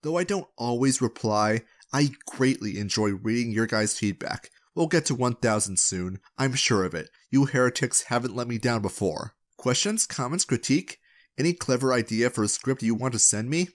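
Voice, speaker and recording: A man's voice: medium pitch, animated, moderate pace. Playful man narrating for his channel. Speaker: video creator and channel host. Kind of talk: scripted narration to viewers.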